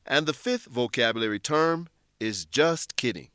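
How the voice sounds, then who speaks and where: A man narrating a scripted English lesson in a deliberate, instructional manner. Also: mid-range voice, expressive, fairly bright tone, no echo, studio quality.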